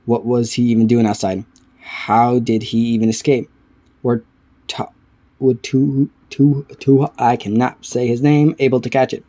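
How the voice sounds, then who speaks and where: A man giving casual reaction commentary, reading aloud haltingly and stumbling over words between offhand remarks. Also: mid-range voice, flat delivery, mellow. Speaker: reaction video creator.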